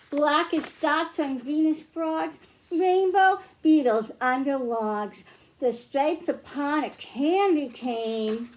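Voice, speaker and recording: American female children's librarian, high register, slow delivery, child-directed read-aloud and simple teaching. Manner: simple, child-directed phrasing with questions to listeners. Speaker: children's librarian hosting storytime.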